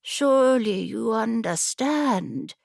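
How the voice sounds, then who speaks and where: A woman's voice: on the high side, expressive, slow, gravelly, dry recording, clean studio sound, heavily acted. A woman voicing a wise female unicorn in an audiobook fable, patient and instructive. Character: wise, calm female unicorn counselling a wolf.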